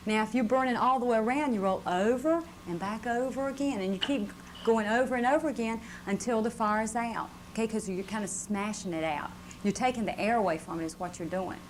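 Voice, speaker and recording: A woman's voice: on the high side, a little fast. A woman presenting a fire safety lesson to children, patiently repeating points and checking with questions. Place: live puppet show before children. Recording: noisy live room on VHS tape.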